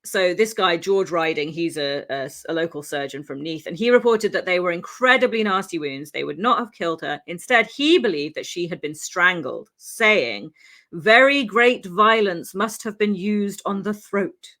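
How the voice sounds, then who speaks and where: A woman's voice: on the low side, lively intonation, normal speed, fairly bright tone. A woman from Wales, co-hosting a podcast, tells a true crime story in a chatty, laughing way full of ums and asides, her English carrying faint Welsh traces. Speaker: podcast co-host.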